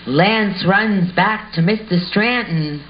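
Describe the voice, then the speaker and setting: A woman narrates in a scripted, stage-direction style at a slow pace. Her pitch is average, and the home recording is dull with faint hiss.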